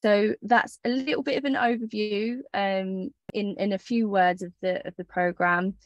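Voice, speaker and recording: A woman's voice: medium pitch, steady tone, normal speed, no echo, muffled recording. A woman presenting an overview of an internship programme on a video call, friendly and organized, with frequent "um"s. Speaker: programme coordinator.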